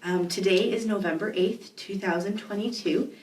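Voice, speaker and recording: A woman's voice: mid-range voice, normal speed, darkish tone. Woman interviewer, encouraging, distant mic, roomy echo, faint background hum. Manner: encouraging, short affirmations. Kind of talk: oral history interview. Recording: echoey room, mic off at a distance.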